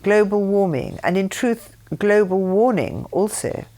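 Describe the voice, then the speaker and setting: A woman reading a written text aloud in a measured, deliberate way, phrase by phrase. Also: mid-range voice, expressive, warm tone. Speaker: reader of her mother's writings.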